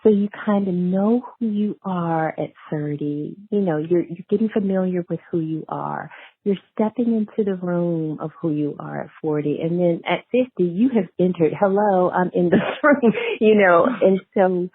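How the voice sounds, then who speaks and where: A woman's voice: lowish voice, normal speed, husky, dry recording, phone-quality sound. A woman being interviewed as an author, talking conversationally in a reflective, emphatic and affirming way.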